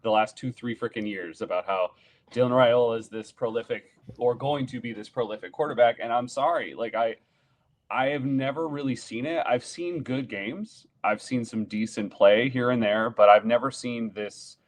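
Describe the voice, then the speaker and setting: A man's voice: medium pitch, moderate pace. A man in his thirties co-hosting a sports podcast from home over a desk mic, giving his blunt opinions in a loose, rambling way. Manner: opinionated, lots of fillers and restarts. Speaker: podcast co-host. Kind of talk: casual sports chat. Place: home room, indoors.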